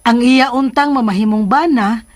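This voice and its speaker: Female actor as encouraging Filipino mother, slightly high voice, measured delivery, hopeful, radio drama. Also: natural voice. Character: supportive, hopeful mother of a grown son.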